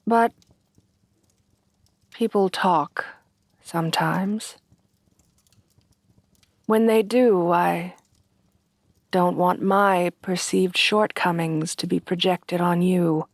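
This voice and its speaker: A woman playing a refined, tender headless horsewoman bride in a soft-spoken ASMR roleplay, with formal, genteel phrasing. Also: lowish voice, steady tone, very slow, long pauses, dry recording, heavily acted. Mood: anxious, confiding. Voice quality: soft, breathy at times.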